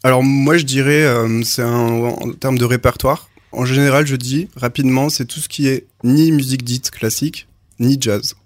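A man in his thirties explains what he teaches on a radio show, plain and a little unsure at times. His pitch is ordinary. The recording is dry and close. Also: fairly even intonation, moderate pace, no room sound. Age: 30s. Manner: plain, slightly hesitant. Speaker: music teacher. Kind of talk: radio interview guest. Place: radio studio.

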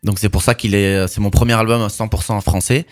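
A man, a musician guest in a radio interview, talking reflectively about his work and pausing to find his words. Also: lowish voice, steady tone, soft background music. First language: French.

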